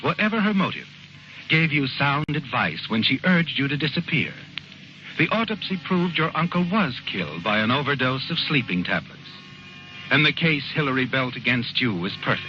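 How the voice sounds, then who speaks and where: An actor narrating a vintage radio crime drama as a knowing, ominous storyteller who insinuatingly addresses the listener as the guilty one. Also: male voice, medium pitch, lightly acted. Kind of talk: 1940s radio acting, second-person dramatic narration. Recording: muffled, noisy old radio recording.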